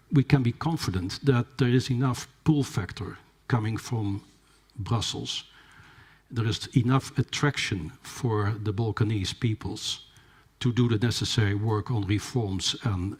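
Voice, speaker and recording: A man giving a formal conference talk, measured and hesitant, with fillers and restarts. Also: lowish voice, husky. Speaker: conference speaker. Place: conference hall.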